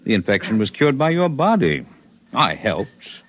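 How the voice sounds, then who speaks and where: A lofty alien healer. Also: male voice, medium pitch, sing-song, a bit bright. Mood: calm. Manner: measured, lofty, precise. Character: calm superior alien healer man, scholarly. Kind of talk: 1950s radio drama acting.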